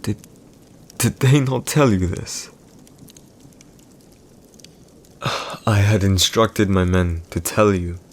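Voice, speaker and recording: A man playing a proud, calculating villain emperor in an audio roleplay, speaking in a measured, commanding way with deliberate pauses. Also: fairly low pitch. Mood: somber, hesitant. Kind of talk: scripted boyfriend audio roleplay, slow dramatic monologue.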